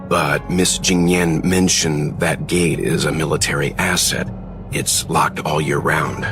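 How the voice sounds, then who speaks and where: A man voicing a calm, middle-aged mentor in a video game, measured, polite and dryly humorous. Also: extremely low pitch, music in the background. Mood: measured, reasoning. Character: calm, composed, gently amused male mentor.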